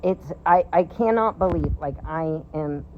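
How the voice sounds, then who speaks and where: 30s guy rambling about a science thing, lots of likes and restarts, excited, bit of faint background noise. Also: fairly high pitch, unhurried, muffled recording. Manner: rambling, hesitant, many fillers and restarts; wondering and enthusiastic. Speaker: vlogger. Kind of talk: casual explanatory monologue.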